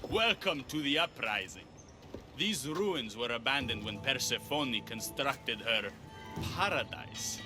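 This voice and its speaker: An actor as a suave, flirtatious rebel leader. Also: male voice, medium pitch, animated, measured pace, music in the background, natural voice. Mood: confident. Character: suave, flirtatious male rebel leader in mythic Greek afterlife. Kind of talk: video game cutscene dialogue.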